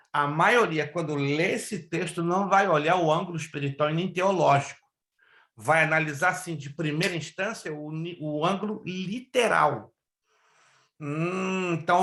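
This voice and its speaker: Emphatic, somewhat high-voiced pastor teaching. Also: male voice, fairly bright tone, reverberant. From Brazil. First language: Hebrew and Spanish. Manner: emphatic, questions the class, stretches key words. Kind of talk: online Bible class lecture.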